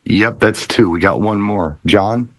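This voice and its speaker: A man's voice: lowish voice, a little slow, no room sound. A middle-aged man hosting a casual music panel chat with trivia questions. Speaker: host of a music talk show.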